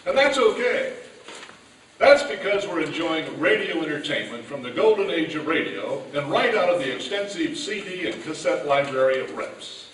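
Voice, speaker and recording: A man's voice: on the high side, expressive, normal speed, fairly bright tone. A man reading scripted announcements and narration in an old-time radio announcer style on a live stage. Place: large event hall, live stage. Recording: echoey hall, distant mic, faint noise.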